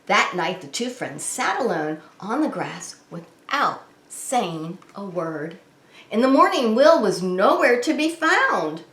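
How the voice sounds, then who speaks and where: Female preschool story-time host, expressive and sing-song, addressing little kids directly, echoing room sound. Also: medium pitch, slow. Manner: animated, sing-song, asks and answers questions to young children. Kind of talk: children's read-aloud story time. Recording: roomy, echoing indoor sound.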